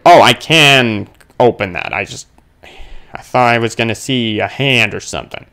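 A man's voice: mid-range voice, moderate pace, vocal fry, bright, forceful tone. A man giving casual let's play commentary, thinking aloud in a grumbling, playfully exclaiming way. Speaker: gamer playing a horror game on his channel.

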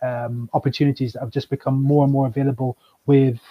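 A man, a guest speaking in a measured, explanatory way on a video call. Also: mid-range voice, level tone. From Kenya. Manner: measured, explanatory, with frequent ums. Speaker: guest, chamber of commerce manager. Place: indoors, plain room. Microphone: webcam on video call. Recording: dull lo-fi video call audio.

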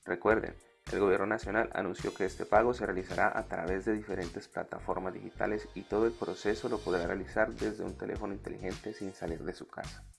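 Low-voiced Colombian man with a creaky edge. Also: steady tone, a little fast, few pauses, faint music behind. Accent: Colombian Spanish. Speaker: tutorial video host. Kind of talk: step-by-step app tutorial narration.

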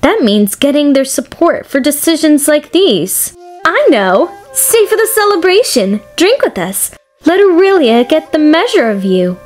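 A high, swooping young noblewoman acted by a woman, vivacious and hungry for gossip. She gushes playfully, like a fantasy game character, over background music. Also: sing-song, a little slow. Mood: excited, gushing. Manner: gushing, playful, theatrical. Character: vivacious, gossip-loving young noblewoman ruling a wealthy city. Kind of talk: fan dub voice acting of a fantasy story game. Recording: music behind the voice.

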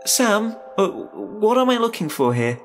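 A man's voice: sits high, sing-song, a little slow, faint music behind, voice pushed up, exaggerated voice. A man voicing a nervous, polite, hesitant woman in a horror audio story. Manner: hesitant, flustered. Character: nervous, polite, wary woman cornered on roadside.

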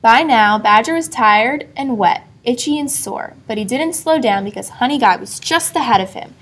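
A woman reading a children's story aloud expressively, pausing to put questions to a young listener. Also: fairly high pitch, lively intonation, a bit bright, breathy edge. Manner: asks a child engaging questions. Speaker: storybook reader.

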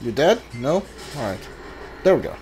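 A video game player giving casual let's play commentary, thinking aloud in a halting way. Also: mid-range voice, big pitch swings, noisy background. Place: indoors, voice-over on gameplay. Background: video game music.

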